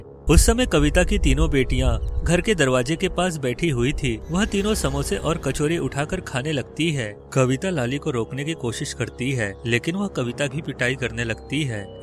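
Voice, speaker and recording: A man narrating a scripted children's moral story. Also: a little high.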